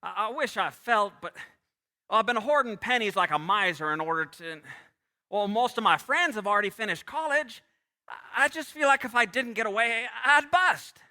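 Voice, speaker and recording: A man plays an earnest, restless young small-town dreamer in a vintage radio drama. His voice is high and very bright, with swooping, stammering eagerness. It rings through a hall in a live stage taping. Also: expressive, normal speed, exaggerated voice. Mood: frustrated, earnest. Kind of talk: old-time radio play acting on stage. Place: theatre stage.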